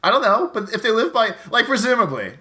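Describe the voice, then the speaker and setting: A brash, joking man with a high, bright voice and very lively intonation. He chats casually like a comedy podcast co-host, swearing freely as he riffs. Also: fast talker.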